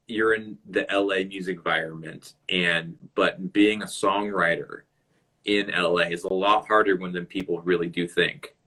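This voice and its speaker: A man's voice: sits low, sharp tone, poor audio. A man hosting a casual live video-call interview who rambles and restarts his sentences. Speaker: interview host.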